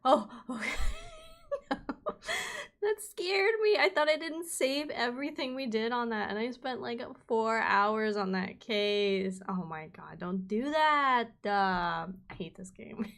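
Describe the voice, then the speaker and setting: A woman's voice: fairly high pitch, lively intonation, takes it slowly, creaky, fairly bright tone. Chatty, joking woman streamer. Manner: chatty and joking, laughs often. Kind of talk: casual live game commentary. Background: game music.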